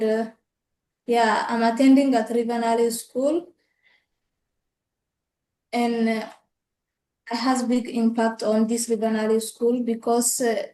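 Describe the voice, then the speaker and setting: Young woman from ethiopia, slow and flat, lots of uh's, echoey room. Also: on the high side. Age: young adult. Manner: hesitant, halting, many "uh" fillers. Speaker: student guest.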